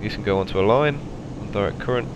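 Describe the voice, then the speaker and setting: Low raspy man with a cold. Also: quick pace, muffled recording, noisy background. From England. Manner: relaxed, chatty, many ums and okays. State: has a cold, slightly raspy. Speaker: flight simulator player. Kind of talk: casual narrated cockpit walkthrough.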